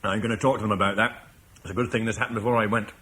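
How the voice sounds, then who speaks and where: An actor playing a blunt, forceful military commander from Cornwall who scorns Rome, in a classic radio drama. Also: male voice, fairly low pitch, fairly even intonation, rapid-fire, slight background noise, close to an everyday voice. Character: blunt British war leader, scornful of Rome.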